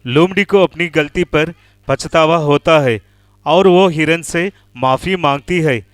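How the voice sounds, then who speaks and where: A man's voice: a little high, measured pace, no echo, clean studio sound. A man narrating a scripted children's animal story.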